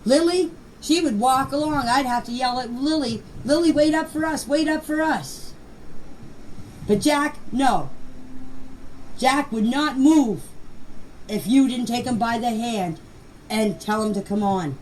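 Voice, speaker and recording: A woman's voice: high voice, a little slow, long pauses, darkish tone. An older woman giving an informal personal account in voice messages, plain-spoken and emphatic, often repeating herself. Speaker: grandmother recounting events. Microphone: voice clips recorded for a group chat. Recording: distant, noisy voice message recording.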